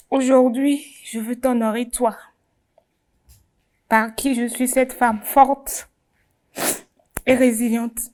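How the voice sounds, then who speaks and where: Slow, tearful young-sounding woman reading. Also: a little high, darkish tone. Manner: slow, solemn, emotional reading. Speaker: pageant candidate. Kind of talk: reading a heartfelt letter aloud.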